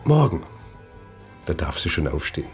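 An actor playing an easygoing, earnest friend in radio drama dialogue, casual and chummy in manner. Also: male voice, rumbling low, sing-song, moderate pace, soft background music, full character voice. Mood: teasing, earnest. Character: easygoing male pal, eagerly recommending and advising.

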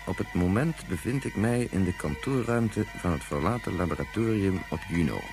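A man playing a space investigator who dictates an official report in a radio play, formal and measured. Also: sits low. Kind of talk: scripted report in science fiction radio play.

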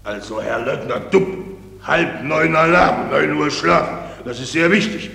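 An actor in a German radio drama playing a pompous, doddering general who gives pedantic, repetitive orders in a creaky voice. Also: male voice, on the high side, a little slow, sharp tone, full character voice. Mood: fussy, commanding. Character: pompous, doddering male general inspecting troops. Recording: very echoey hall, long reverb.

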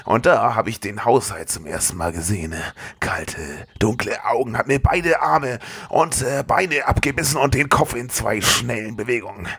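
Man playing a gravelly, rambling shark survivor. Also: mid-range voice, normal speed, fairly bright tone. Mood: grim, dramatic. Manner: dramatic, rambling storytelling. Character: big, rambling shark survivor in a top hat.

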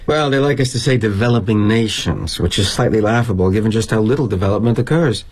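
An actor playing a jaded middle-aged corporate executive, delivering a darkly wry, self-justifying stage-style monologue with arch, mannered phrasing. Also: male voice, on the low side, fast talker, darkish tone, husky. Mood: wry, disdainful. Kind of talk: dramatic monologue, theatrical storytelling.

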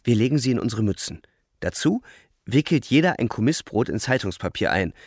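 An actor playing a bitter, world-weary young front-line soldier narrating a radio drama in a measured, grim, gravelly way. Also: male voice, mid-range voice, big pitch swings, no echo, studio quality. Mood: wistful, restrained excitement. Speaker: first-person narrator.

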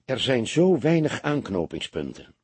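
A man's voice: medium pitch, sing-song, unhurried, a little mellow, breathy edge, no room sound. A man voicing a weary police detective who talks in a deferential, understated and hesitant way, as in an audiobook. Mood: reluctant, downcast. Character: world-weary police detective, feigning meek, sheepish humility. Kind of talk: audiobook character voice.

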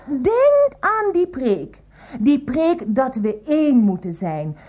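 Naive peacemaker, high voice, very animated singsong intonation, earnest, pious, radio drama. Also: female voice, slow pace, muffled recording, full character voice. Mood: earnest, admonishing. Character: naive, chirpy, pious peacemaking woman of the household.

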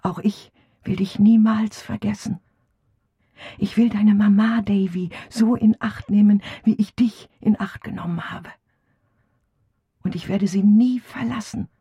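A woman playing a devoted, tender nursemaid in a German radio drama, husky and half-whispering. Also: medium pitch, fairly even intonation, measured pace, highs cut off. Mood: tender, sorrowful. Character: tender, devoted female nursemaid comforting a child.

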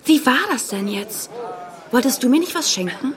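Woman playing a cheeky, high-voiced young barmaid. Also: lively intonation, normal speed, natural voice. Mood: teasing. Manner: pert, teasing, drops into whispers. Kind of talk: German radio play acting.

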